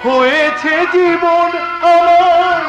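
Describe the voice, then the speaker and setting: A heartbroken wandering music tutor pours out his bitterness in a very high, plaintive voice. The delivery is theatrical Bengali stage melodrama, echoing over loud music. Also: dull audio, exaggerated voice. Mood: anguished singing. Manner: theatrical, plaintive, drawn-out sung lament. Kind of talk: Bengali jatra folk theatre acting with song. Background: loud musical instruments.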